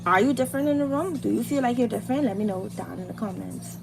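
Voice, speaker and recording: A Bahamian woman hosts a casual livestream over noticeable background noise. Her voice sits a little high, and she talks in an informal, motivational girl-talk style, repeating phrases to drive them home. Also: expressive, fast, vocal fry. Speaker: livestream host, beauty and hair content creator. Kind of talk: casual chat while doing makeup, motivational girl talk.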